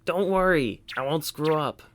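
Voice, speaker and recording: A man voicing an excitable young thief who also plays a noble stage swordsman in a theatrical video game fan dub. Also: fairly high pitch, unhurried. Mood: excitable banter. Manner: stagey declaiming mixed with grumbling banter.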